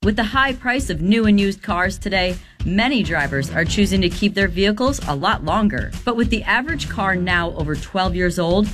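A woman's voice: normal speed, hardly any pauses, over music. Polished woman reading a radio ad. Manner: polished, steady pitch. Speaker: ad spokesperson. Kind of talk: scripted radio ad read.

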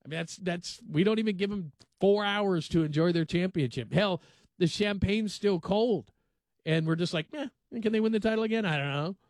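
A man's voice: sits high, normal speed, darkish tone, no room sound. A man hosting a sports call-in radio show from a studio, talking loosely and going off on tangents. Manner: loose, rambling, laughs easily. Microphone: studio microphone.

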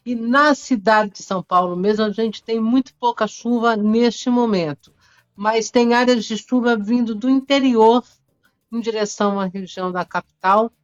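A woman weather reporter explaining the forecast live, unhurried, with fillers. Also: fairly high pitch, smooth, no echo, dull audio.

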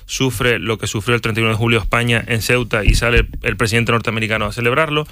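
A man's voice: medium pitch, fairly even intonation, fast, sharp tone. A man, arguing his points as a panelist in a televised political debate. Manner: argumentative, reasoned, with self-corrections.

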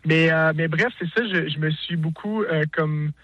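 A man's voice: on the high side, moderate pace, a bit bright, no echo, muffled recording. A male singer-songwriter with a Québécois French accent, talking casually in an interview, hesitant with many restarts and fillers.

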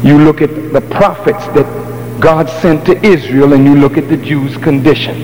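Male preacher, somewhat high voice, unhurried, fierce, recorded with a big hall echo. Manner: impassioned, scolding, rising to shouts. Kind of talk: fiery sermon with call and response. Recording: long hall reverb, steady hum.

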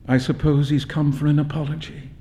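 A man voicing a naive, easily embarrassed young gentleman in a story reading, with a sulky, flustered manner. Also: fairly high pitch, flat delivery, a little fast, lightly acted. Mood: flustered apology. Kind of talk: dramatic literary story reading.

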